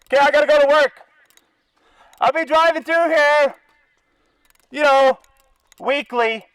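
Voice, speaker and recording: Canadian male activist, extremely high-pitched voice through a bullhorn, dry and echo-free outdoors. Confrontational, sarcastic, profane protest delivery. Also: measured pace, fairly bright tone. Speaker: animal-welfare activist. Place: outdoors by a zoo fence.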